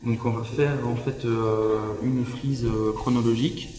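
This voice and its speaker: A man's voice: mid-range voice, fairly even intonation, a little slow, crowd noise. A man casually and hesitantly explaining an exhibition setup as one of its organizers, in a large indoor space. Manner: informal, hesitant. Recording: echoey hall with long reverb.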